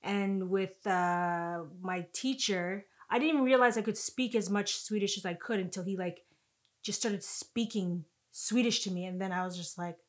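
A young woman vlogger telling a story in casual chat, animated and expressive, recorded on a sofa in a living room. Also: mid-range voice, moderate pace.

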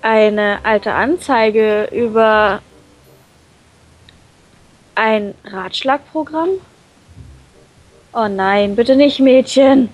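High-voiced, slow-paced woman reading aloud. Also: poor audio. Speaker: co-reader in a group visual novel let's play. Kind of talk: casual read-aloud narration of a visual novel. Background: background game music.

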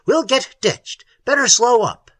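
Man as hoarse, excitable, high-pitched adventurer. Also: measured pace, no echo, studio quality, exaggerated voice. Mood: excited, panicky. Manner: shouting, breathlessly urgent. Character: excitable, anxious male companion in a monster chase. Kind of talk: dramatized horror story reading.

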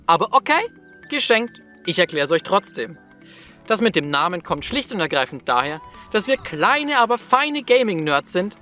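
A man's voice: high-pitched, sing-song, normal speed, pushed and bright, soft background music. A man of 27 presenting scripted lines to camera in a wry, ironic way. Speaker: video presenter; teacher.

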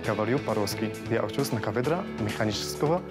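A man's voice: lowish voice, fast talker, over loud music. Young man, simple phrasing. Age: 20s. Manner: simple, non-native phrasing. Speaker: engineering student. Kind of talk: on-camera self-introduction. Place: indoor lab.

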